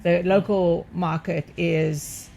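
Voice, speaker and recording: Interview host with a gravelly edge. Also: mid-range voice, flat delivery, slow pace, a little mellow. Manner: brief, probing questions. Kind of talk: interview questions.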